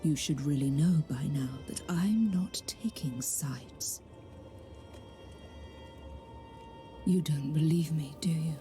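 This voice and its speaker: Haughty ancient sorceress queen in fantasy game, breathy, slow n deliberate, loud music behind. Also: deep voice. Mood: sly, commanding. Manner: commanding, haughty, theatrical, deliberate. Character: ancient imperious sorceress goddess, ruler of a magical island. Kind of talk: dramatic fantasy game voice acting.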